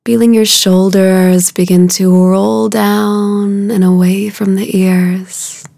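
A woman guiding a meditation in a soothing, hushed way, soft and breathy at the ends of phrases, with unhurried, drawn-out phrasing. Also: medium pitch, little pitch movement, no room sound, studio quality. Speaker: meditation guide. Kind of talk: guided meditation.

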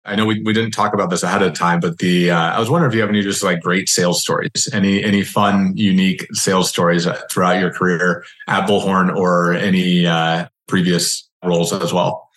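Guy hosting a podcast, kinda low voice, echoey room, chatty, rewords stuff as he goes. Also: little pitch movement, brisk, few pauses, fairly bright tone. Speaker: podcast host. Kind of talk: casual interview conversation. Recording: roomy, echoing sound.

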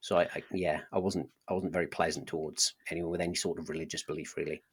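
A man's voice: deep voice, level tone, quick pace, slightly rough. A man with a Welsh accent, a podcast guest telling his life story in a hesitant, conversational way with frequent restarts. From South Wales. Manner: hesitant, many restarts and ums. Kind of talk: conversational interview.

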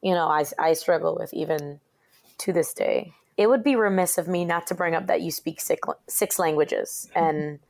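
A woman hosts an interview with a fairly low voice that has a lot of gravel in it. She keeps things conversational and affirms her guest often. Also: moderate pace. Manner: warm, affirming, frequent backchannels. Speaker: interviewer, host.

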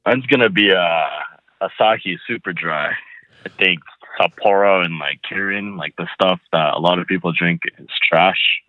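A man's voice: deep voice, moderate pace, no echo. A young man from the United States being interviewed over the phone, laid-back and frank, with lots of "like"s. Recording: tinny phone line.

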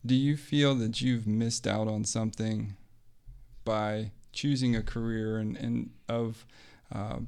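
Flat, gravelly male podcast host. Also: on the low side, slow pace. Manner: steady, flat delivery, affirming. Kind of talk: interview hosting.

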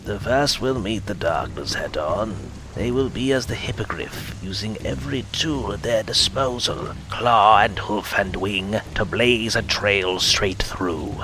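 A man voicing a stern, mystical old female oracle who speaks slowly and drops to a harsh whisper. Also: mid-range voice, wildly swooping pitch, gravelly, noisy background, voice pushed up, full character voice. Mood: harsh whispered prophecy.

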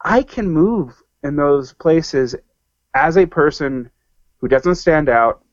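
A man's voice: fairly high pitch, animated, slow, no room sound, dull audio. A man from the American South talking as a podcast guest in loose discussion, full of fillers and restarts. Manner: rambling, many fillers and restarts. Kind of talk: casual discussion.

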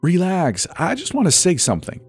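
A man's voice: sits high, wildly swooping pitch, fairly quick, breathy edge, faint music behind, pitched above the performer's own voice, exaggerated voice. A man voicing a cocky, defiant teenage boy, mocking and breezy, in a dramatic story reading.

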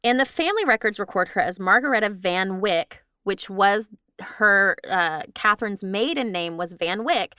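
A woman's voice: medium pitch, animated, moderate pace, few pauses, bright, forceful tone, clean tone, no room sound, clean studio sound. A woman podcast host casually talking through her research in conversation. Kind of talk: casual chat, explaining research.

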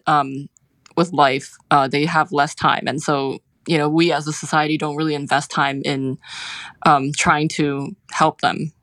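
A woman's voice: deep voice, a bit bright, dry recording. A woman in her twenties from Hong Kong speaking as an interview guest, reflective and hesitant, often saying filler words. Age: 20s. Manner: hesitant, filler-heavy with frequent "like".

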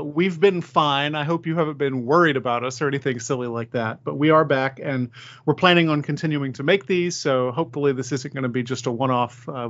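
Higher-voiced man hosting a casual podcast. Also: a bit bright, muffled recording. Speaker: podcast host and high school teacher. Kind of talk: casual conversation.

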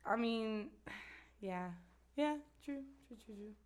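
A young woman from with a raspy voice, chatting bluntly as a podcast guest and swearing freely. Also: fairly high pitch, slow pace. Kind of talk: casual chat.

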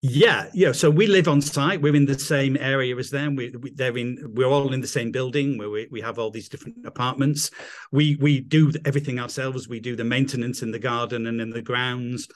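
A man's voice: medium pitch, fairly even intonation, brisk. A middle-aged man with an English accent, a guest on a video call, talking enthusiastically and rambling with restarts. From England. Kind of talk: casual interview chat.

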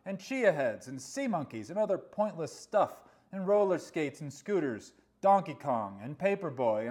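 A woman's voice: low voice, a little mellow, pitched below the performer's own voice, heavily acted. A woman voicing a jolly, blustery old male Santa Claus in a rhyming Christmas story, with emphatic, theatrical delivery.

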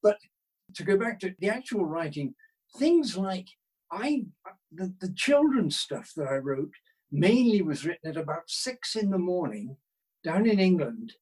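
Older male interview guest, higher-pitched with a husky grain, modest, hesitant and conversational. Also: big pitch swings, a little slow, a little mellow, poor audio. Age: 60s or older. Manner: self-deprecating, hesitant, thoughtful, rephrasing as he goes.

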